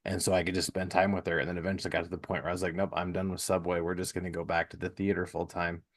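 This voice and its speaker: Low-voiced middle-aged male podcast host. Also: level tone, very fast. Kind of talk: casual interview chat.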